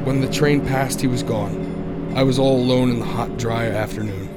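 An actor playing a haunted, anxious young driver in a radio drama, narrating earnestly and stammering when agitated. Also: male voice, mid-range voice, close to an everyday voice. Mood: tense dread. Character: haunted, anxious young male cross-country driver narrating his ordeal.

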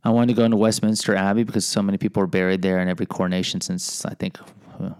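A man's voice: sits low, completely flat delivery, racing, a little mellow, smooth, no echo, studio quality. A middle-aged American man hosting a podcast, reading a personal memoir with rambling, self-deprecating asides. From United States. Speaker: podcast host and teacher.

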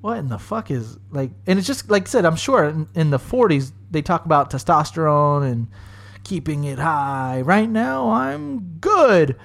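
A man in his thirties co-hosting a podcast, telling crude stories with voiced impressions in loud, animated banter. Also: a little high, a little slow, gravelly. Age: 30s. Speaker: podcast co-host.

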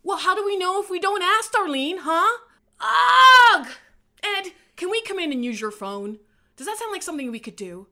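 Woman playing brash, bright, sarcastic tomboy. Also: very high-pitched, very animated, normal speed, full character voice. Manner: blunt, defensive, gruffly sarcastic. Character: brash, sarcastic, easily irritated tomboyish young female. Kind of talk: comic radio play acting.

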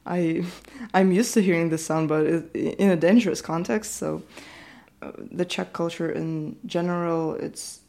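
Teenage Ukrainian woman, gravelly voice. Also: on the low side, measured pace, dark tone. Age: teens. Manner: hesitant, many fillers and restarts. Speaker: student interviewee. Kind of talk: casual interview answers.

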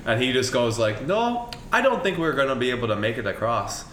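A man's voice: mid-range voice, sing-song, brisk, fairly bright tone, a little hiss or hum. A man, a coach, telling a rambling story in casual chat, with many ums along the way. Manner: rambling, filler-heavy with frequent ums. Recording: echoey room.

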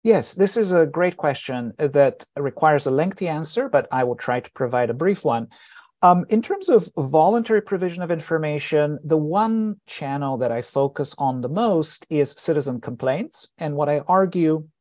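A middle-aged man with a Bulgarian accent giving scholarly interview answers in a deliberate, halting, explanatory way. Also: on the high side, animated, a little mellow, dry recording, clean studio sound. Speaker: author and scholar being interviewed.

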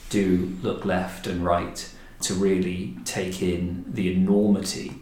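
A man hosting a podcast in a measured, articulate, deliberate and descriptive way. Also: low-pitched, little pitch movement, darkish tone, slight background noise. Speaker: podcast host, director of a historic house. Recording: roomy, echoing indoor sound.

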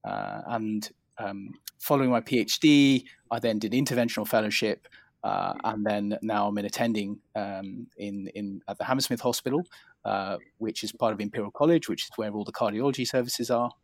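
A man's voice: on the low side, steady tone, normal speed, vocal fry, no echo. A middle-aged man with a southern English accent, telling his career story in an interview in a polite, modest, hesitant way. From England, near London. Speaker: interview guest, cardiologist.

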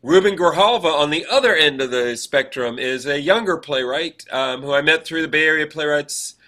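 Man, podcast co-host, slightly high pitch, forceful bright tone, casual chat, rambling storyteller. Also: moderate pace.

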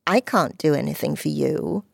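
A woman's voice: low voice, normal speed, gravelly, slightly rough, dry recording, studio quality, heavily acted. A woman reading the lines of a stuffy, pompous older man stuck as a schoolboy, sententious and stiff. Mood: dismissive. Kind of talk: audiobook character voice.

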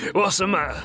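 Man playing a slow, leering sleazy hotel guest. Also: high voice, fairly bright tone, exaggerated voice. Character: crude, sleazy adult male hotel guest, smugly unbothered. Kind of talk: audio drama acting.

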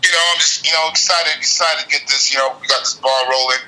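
A man's voice: medium pitch, fairly even intonation, quick pace, bright, forceful tone. A man co-hosting a podcast by phone, rambling casually with many fillers. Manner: rambling, repeats "you know". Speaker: podcast co-host. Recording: phone line.

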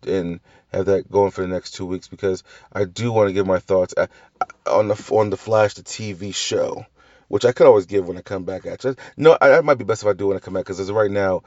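A man hosts a loose, talky review show and wanders off on tangents, restarting sentences and tossing in the odd swear. His voice sits a little low, and a slight cough hangs on from a recent flu. The recording is dry, with a slightly dull, lo-fi sound. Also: no room sound. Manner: rambling with tangents, frequent restarts and mild swearing. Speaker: podcast host reviewing TV shows. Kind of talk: casual solo review chat.

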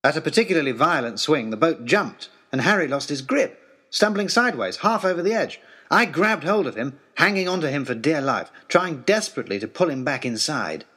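Audio drama lead, male actor: earnest gentleman adventurer reading his letters, highish husky voice, animated and full of wonder. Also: a little fast, exaggerated voice. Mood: urgent, dramatic. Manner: literary, wonderstruck, expressive storyteller. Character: Timothy Hope, earnest, eloquent gentleman adventurer writing letters home. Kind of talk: dramatised first-person letter narration.

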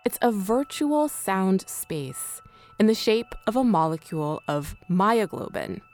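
A woman's voice: medium pitch, big pitch swings, unhurried, a little mellow, faint music behind. A woman hosting a radio documentary, reading scripted narration. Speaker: host.

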